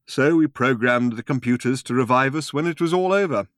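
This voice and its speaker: A man voicing a mild, polite old male planet-builder who talks slowly and wistfully, raised above his own voice, recorded dry and close. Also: medium pitch, a bit bright, no echo, voice pushed up, close to an everyday voice. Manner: slow and drawn out, mild and courteous.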